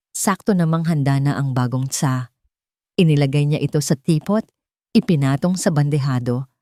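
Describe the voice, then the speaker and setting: A woman's voice: sits low, warm tone, no echo, studio quality. A woman narrating a scripted dramatic story in a suspenseful way, with short emphatic phrases.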